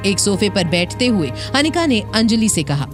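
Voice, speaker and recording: A woman's voice: medium pitch, very animated, moderate pace, music in the background. Woman narrating a scripted story.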